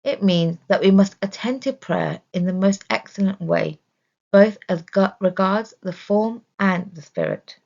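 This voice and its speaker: A woman's voice: medium pitch, unhurried, creaky, darkish tone. A woman reading a scripted religious study as narration, in a dull, muffled recording. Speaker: reader of religious study text.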